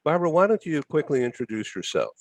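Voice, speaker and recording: A male host greeting guests on a video call, his pitch moving up and down a lot. The sound is dry and close. He hesitates with fillers as he introduces people. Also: very animated, fast talker, no room sound. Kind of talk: talk show hosting.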